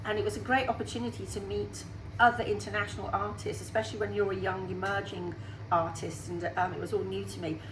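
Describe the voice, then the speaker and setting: A woman's voice: mid-range voice, level tone, fast. A woman in her sixties, a singer being interviewed on radio, who talks in a chatty, upbeat way. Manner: chatty and upbeat, laughs easily. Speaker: singer guest. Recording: roomy sound with faint background hum.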